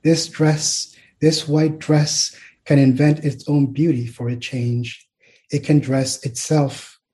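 A man reading poetry aloud as a poet, in a slow literary delivery. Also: fairly high pitch, flat delivery, dark tone.

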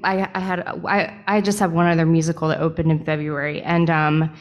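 A woman's voice: low-pitched, level tone, a little fast, lo-fi sound. A woman who writes musicals, explaining things casually and matter-of-factly as an interview guest.

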